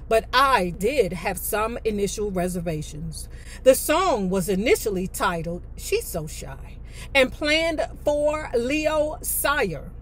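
Bright, high-voiced American woman. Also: a little hiss or hum. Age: 50s. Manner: blunt, sassy, profane. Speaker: video host. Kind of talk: reading a book aloud with casual, blunt commentary. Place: inside a parked car.

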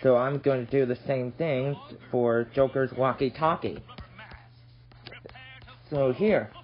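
A man hosting a casual hobby app tutorial, speaking haltingly and repeating his phrases. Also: medium pitch, fairly even intonation, soft background music. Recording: dull lo-fi audio with noise.

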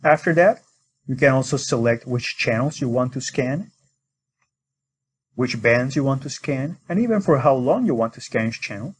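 A man's voice: mid-range voice, expressive, normal speed, husky. A man narrating an instructional screen recording as a product demonstrator, explaining step by step in an informal way.

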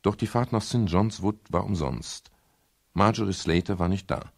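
Wanted: weary, wry private eye in vintage radio crime play, very low register, gravel in the voice. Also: male voice, normal speed. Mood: brooding narration. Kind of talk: 1970s German radio crime drama, first-person narration and dialogue.

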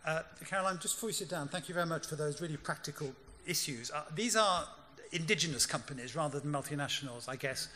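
Male panel moderator, bright tense voice, brisk and wry, lectern mic in a reverberant hall. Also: a little high. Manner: brisk, dryly wry, directive. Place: large conference hall stage. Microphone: lectern microphone. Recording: hall reverb, faint room hum.

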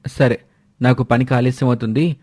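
A busy young husband in a rush for work, speaking briskly and plainly, as a narrator puts him on. His voice is mid-pitched for a man. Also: fairly even intonation, a little mellow, breathy edge, no room sound, studio quality, close to an everyday voice. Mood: hurried.

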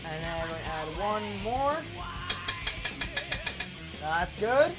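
A man's voice: high-pitched, animated, slow. A young man hosting a casual home cooking video in a jokey, slangy way. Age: young adult. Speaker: cooking show host. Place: home kitchen. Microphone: clip-on lapel mic. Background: guitar music.

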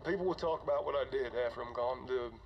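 A dull, slightly noisy recording of a man acting as a weary starship commander keeping a game audio log. He is older and conscience-stricken, talking in a halting, confiding way at a middle pitch. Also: close to an everyday voice. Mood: resigned, rueful. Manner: conversational, halting, sighing.

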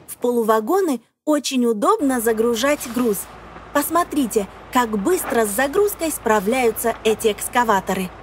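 A woman reading scripted educational narration for young children, phrasing deliberately and putting questions to the listener. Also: a little high, a little hiss or hum. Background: music.

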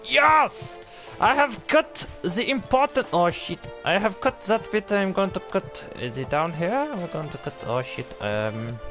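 A young man streaming a game, putting on a mock German-style accent that drifts into a made-up one, swearing and shouting in excitement. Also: sits high, slow pace, hardly any pauses, music in the background. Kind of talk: live let's-play commentary.

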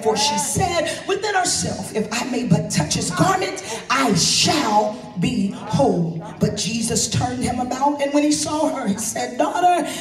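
A woman preaching a fervent sermon from the pulpit of a large church, building into shouted, rhythmic repetition. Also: high-pitched. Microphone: handheld mic at pulpit, filmed from the pews. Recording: echoey sanctuary recorded from far back.

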